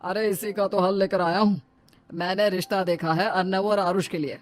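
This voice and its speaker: A woman's voice: mid-range voice, steady tone, moderate pace, a little hiss or hum, close to an everyday voice. Woman acting genial, persuasive elder brother-in-law. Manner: teasing, assured, persuasive.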